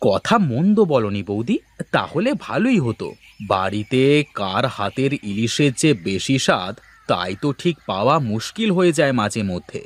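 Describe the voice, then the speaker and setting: A man voicing a cheerful, teasing husband in a cartoon family drama, expressive and jovial. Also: lowish voice, normal speed. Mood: playful. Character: cheerful, teasing Bengali husband who loves good food.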